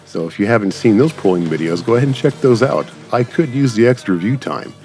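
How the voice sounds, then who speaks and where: A man's voice: medium pitch, expressive, quick pace, mellow. A man giving casual, rambling gameplay commentary, talking directly to viewers as a channel host. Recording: background game music.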